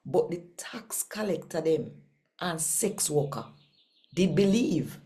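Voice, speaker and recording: A woman's voice: fairly low pitch, very animated, takes it slowly, warm tone. A woman with a Jamaican accent hosting a devotional channel and reading scripture to her audience. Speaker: channel host and scripture reader.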